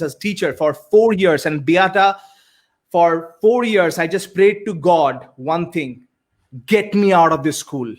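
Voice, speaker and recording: Earnest Indian man, slightly high voice. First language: Bengali. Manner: earnest, many fillers and repeated starts. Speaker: guest speaker and coach. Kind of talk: conversational storytelling.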